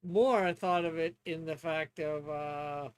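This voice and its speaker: Man, high-pitched voice, slow and hesitant, casual streamer going through game rules. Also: steady tone, clear, resonant tone. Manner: hesitant, halting, thinking aloud. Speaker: streamer and tabletop player. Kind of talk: casual stream talk, reading rules aloud.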